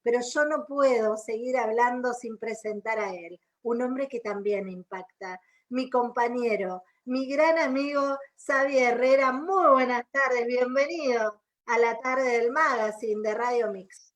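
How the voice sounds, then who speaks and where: A woman's voice: on the high side, unhurried. A woman from Argentina with an Argentine accent co-hosting a radio magazine, speaking in an enthusiastic, flowing way. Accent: Argentine Spanish. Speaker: radio co-host.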